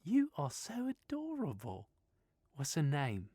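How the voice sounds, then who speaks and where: A woman's voice: low-pitched, wildly swooping pitch, slow pace, dark tone, husky, dry recording, clean studio sound, voice pushed up, exaggerated voice. A male narrator voicing a friendly, playful young woman with an Australian accent, in an audiobook. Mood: cheerful. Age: young adult.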